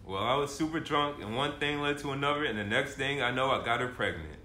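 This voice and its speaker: A man's voice: mid-range voice, expressive, fast, close to an everyday voice. A man playing a broke, cocky slacker boyfriend in an amateur comedy table read, slangy and full of boastful excuses. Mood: casual, boastful. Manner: slangy, boastful, self-justifying. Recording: echoey room, faint noise.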